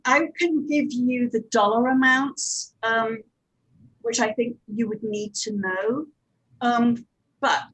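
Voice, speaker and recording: Woman explaining, fairly high voice. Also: a bit bright, low-quality recording. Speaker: audio drama producer and director, panelist. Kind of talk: explanatory panel talk on production practice.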